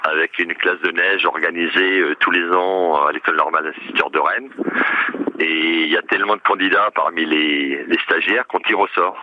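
An older man with a Breton accent, a retired teacher calling in to a radio show from outdoors in the wind, telling his story slowly with many fillers and a dry sense of humor. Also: sits low, fairly even intonation, dull audio. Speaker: caller, retired primary school teacher. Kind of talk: casual phone-in chat. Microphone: phone call.

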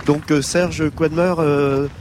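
A middle-aged man, an island mayor from France, explaining calmly with some hesitation in an outdoor interview on a quay. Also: on the high side, slow pace, background noise.

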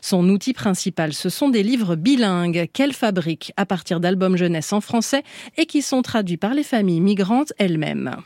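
A woman radio reporter reading a scripted report with clear, measured delivery into a studio microphone. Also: mid-range voice, animated, darkish tone, no echo.

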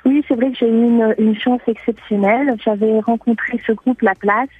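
A woman speaks as a radio interview guest in a husky voice. She hesitates often, searching for the next word. The sound is dull and narrow, as from a remote link. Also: on the high side, steady tone, normal speed, soft background music. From France. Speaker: guest singer-songwriter.

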